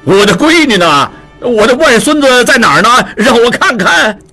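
A blustering old father-in-law bellows and grumbles, as a man puts him on. The voice rides high and swings widely, with a husky grain. Faint music runs beneath. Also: big pitch swings, brisk, a bit bright, full character voice.

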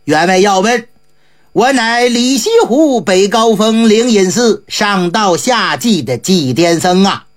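A woman voicing an eccentric, jovial old male monk in a traditional storytelling performance, with a gruff, drawling and offhand manner. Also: mid-range voice, expressive, slow pace, pitched below the performer's own voice.

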